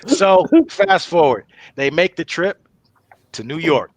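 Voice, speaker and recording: A man's voice: fairly high pitch, animated, slow, dry recording. A man reading stage directions as narrator of a comedy table read.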